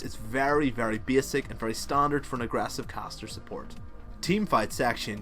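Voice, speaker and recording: A young man with a Northern Irish accent talks through a video game guide in a casual, rambling way, often dropping in fillers. His pitch sits in a middling range for a man. Faint music plays quietly beneath his voice. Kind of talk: game strategy tutorial voice-over.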